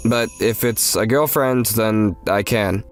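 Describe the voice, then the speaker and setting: A man's voice: on the low side, takes it slowly. A man playing a protective young step-brother, earnest, hesitant and often exasperated, in an English-dubbed manga romantic comedy. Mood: resolute. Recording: music behind.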